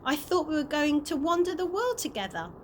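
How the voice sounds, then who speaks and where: A headstrong young storybook girl, acted out by a woman, high in pitch and full of eagerness. She talks insistently and emphatically, with some noise on the recording. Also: very animated, brisk. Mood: hurt, angry. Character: headstrong, eager twelve-year-old girl. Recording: noisy amateur home recording.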